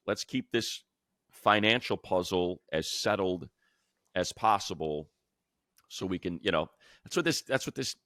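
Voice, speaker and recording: A man's voice: low voice, a bit bright, no room sound, clean studio sound. A man co-hosting a sports show, giving unscripted analysis and repeating phrases for emphasis. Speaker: sports show co-host and commentator.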